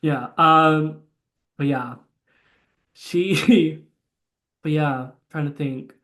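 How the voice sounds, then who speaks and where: A young man co-hosting a casual movie podcast, recapping the plot with lots of swearing and excitement. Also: on the high side, drawn-out words. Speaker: podcast co-host.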